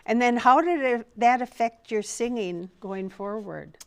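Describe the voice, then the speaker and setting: A woman hosting an arts interview, speaking in a warm, effusive way. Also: fairly high pitch, a little slow. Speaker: arts reporter and host.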